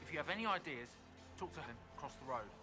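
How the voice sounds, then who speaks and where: An actor playing a weary, dutiful corporal in a fantasy game, plain-spoken and a little wry. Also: male voice, on the high side, expressive, fast talker, music in the background, natural voice. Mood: weary, concerned. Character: weary, dutiful male army corporal managing refugee aid. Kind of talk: scripted fantasy game dialogue.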